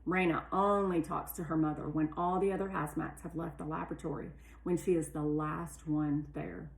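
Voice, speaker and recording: A woman reading a children's novel aloud with animated, deliberate storytelling in a roomy recording. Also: low-pitched, creaky. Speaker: read-aloud narrator. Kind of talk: expressive children's audiobook narration. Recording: roomy, echoey sound.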